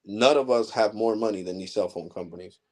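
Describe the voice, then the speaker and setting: A man in his forties rants to his livestream audience as a tech channel host. He is blunt and heated, swearing freely as he lectures viewers, and his voice sits a little low. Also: a little fast, a little mellow. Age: 40s. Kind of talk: casual ranting livestream monologue.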